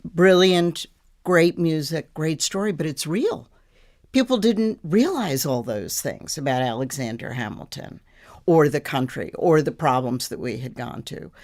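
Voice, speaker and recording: A woman's voice: sits low, expressive, measured pace, a little mellow. A woman talking as a producer guest in a conversational panel interview, earnest and emphatic.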